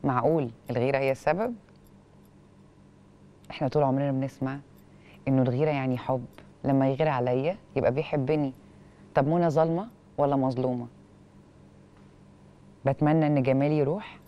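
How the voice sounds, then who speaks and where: A woman with an Egyptian accent hosting a television show, reading and commenting on a letter in an expressive, questioning way, on a lapel mic in a studio. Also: very deep voice, fairly quick, pauses often, lo-fi sound. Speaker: television host.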